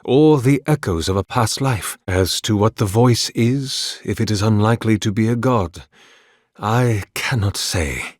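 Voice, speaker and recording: A man acting a video game role as a weary, courteous, devout scholar-priest of a sun god, speaking in measured, formal, reverent phrases. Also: on the low side, dry recording, clean studio sound. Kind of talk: video game character dialogue, formal and archaic.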